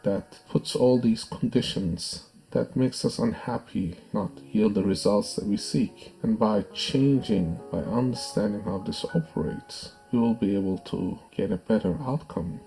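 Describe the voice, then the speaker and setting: A man narrating a guided meditation in a measured, soothing, instructive way. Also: lowish voice, vocal fry, warm tone, poor audio. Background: background music.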